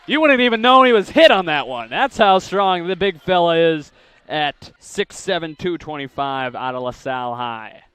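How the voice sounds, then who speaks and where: A young man calls a basketball game play-by-play, rattling off passes and drives and surging upward on the big shots. His voice is bright and crisp, pitched a touch high. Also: animated, no echo, some chatter behind. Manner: rapid calls that rise sharply on big plays. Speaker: play-by-play announcer. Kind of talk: live basketball play-by-play commentary.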